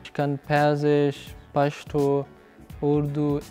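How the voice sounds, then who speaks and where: Young man, higher voice. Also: monotone, slow, warm tone, faint music behind. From Afghanistan. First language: Pashai.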